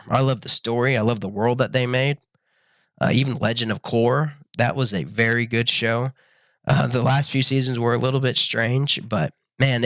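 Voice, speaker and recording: A young dad-to-be chats through a show review straight to camera, plain and earnest, stumbling through ums and restarts. His voice is a little raspy from a cold and sits at an ordinary middle pitch. The sound is dry and close, with no room around it. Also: a bit bright, dry recording. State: slightly sick, raspy voice. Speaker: YouTube reviewer.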